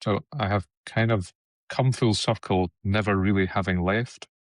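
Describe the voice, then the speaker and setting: Scottish male academic, very low voice, fluent and articulate. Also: fairly even intonation, moderate pace, no room sound, clean studio sound. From west of Scotland. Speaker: educator, guest. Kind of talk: conversational dialogue.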